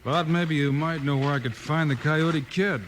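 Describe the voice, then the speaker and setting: A man playing a calm, heroic frontier marshal, measured and firm, in a 1950s radio western. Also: a little high, natural voice. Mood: cool. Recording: noisy old 1950s radio recording.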